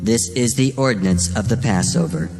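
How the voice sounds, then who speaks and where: An actor playing the Lord as a grave divine voice in a dramatized scripture reading, solemn and deliberate, over music. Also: male voice, lowish voice, close to an everyday voice. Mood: grave, commanding. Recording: loud plucked-string music under the voice.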